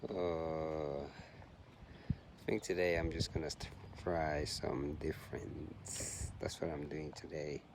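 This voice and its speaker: A deep-voiced man muses unhurriedly into his own camera while out on a park trail, pausing often between thoughts. The outdoor recording carries a clear layer of background noise. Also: little pitch movement. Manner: soft-spoken, musing, halting with pauses. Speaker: vlogger and long-distance runner. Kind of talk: casual reflective vlog while out jogging. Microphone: handheld camera, self-filmed.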